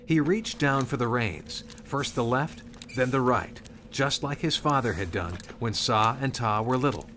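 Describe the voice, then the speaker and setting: A man's voice: medium pitch, expressive, a little slow, sharp tone, slight background noise. A man reading scripted storybook narration of a Christmas tale. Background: music.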